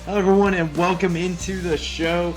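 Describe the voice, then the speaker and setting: A young man with a raspy, strained voice, hosting a casual solo sports talk show with many fillers and restarts. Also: sits high, flat delivery, brisk, loud music behind the voice. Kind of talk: solo sports podcast commentary.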